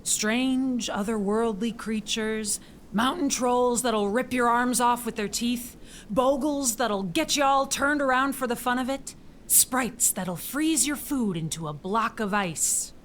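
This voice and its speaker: A gruff mountain woodswoman played by an actress taunts dryly and dismissively in a fairly high voice. It is audio drama acting with faint noise behind her. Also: slow pace. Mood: ominous teasing.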